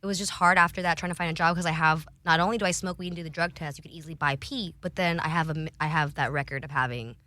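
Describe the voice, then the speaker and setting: A young woman guest on a casual podcast, telling candid stories in an animated way, swearing freely and often laughing. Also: deep voice, racing, hardly any pauses.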